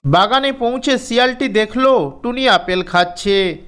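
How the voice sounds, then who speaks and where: A woman storyteller reading scripted narration for a children's animated fairy tale. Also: a little high, unhurried, faint music behind.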